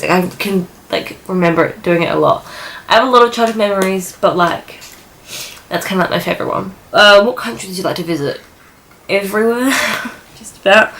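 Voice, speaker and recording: A woman's voice: medium pitch, fairly bright tone, a little hiss or hum, rough recording. A young woman from New Zealand and Australia chatting casually to her vlog viewers, rambling and often correcting herself with plenty of filler "like". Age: young adult. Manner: rambling, frequent "like" and self-corrections. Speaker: vlogger. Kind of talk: casual sit-down vlog chat.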